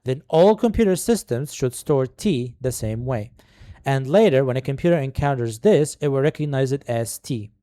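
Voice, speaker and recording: A man's voice: medium pitch, expressive, normal speed, dark tone, dry recording. A man whose first language is Arabic, hosting a tech tutorial. He reads the course text aloud in a casual way, adding asides and fillers. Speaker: tutorial host. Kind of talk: screen-recorded tech tutorial.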